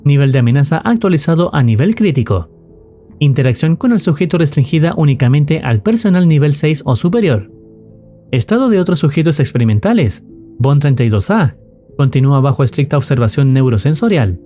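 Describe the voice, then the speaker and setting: A man's voice: a little high, sing-song, a little fast, mellow, soft background music. A man delivering formal, dramatic scripted narration that recaps an analog horror story.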